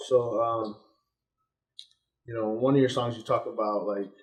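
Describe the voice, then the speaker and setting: A man's voice: fairly low pitch, steady tone, unhurried. A man hosting as a barber, asking rambling questions full of fillers while cutting hair in a barbershop. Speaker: host and barber. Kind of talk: casual interview chat.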